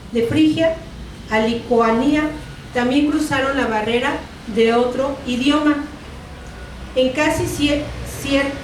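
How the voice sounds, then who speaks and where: A woman from Mexico with a Mexican Spanish accent teaching a Bible class in an earnest tone, repeating phrases and correcting herself. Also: sits high. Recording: echoey room, distant mic, noisy.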